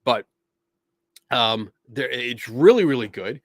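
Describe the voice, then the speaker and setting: A man in the middle of a relaxed live stream, talking in a rambling way with lots of "um" and restarts. His voice is wearing thin and hoarse. The sound is dry and close, with no room around it. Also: medium pitch, slow pace, no room sound. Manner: rambling, many fillers and restarts, mocking quoted voices. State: losing his voice, clearing throat. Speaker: livestream host answering viewer questions. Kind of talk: casual live-stream chat.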